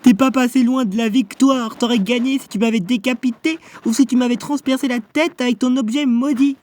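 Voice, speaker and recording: A man's voice: very high-pitched, steady tone, fairly quick, darkish tone. A man playing a cocky, euphoric young sorcerer in an anime fan dub, with a theatrical, self-satisfied delivery. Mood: manic, taunting.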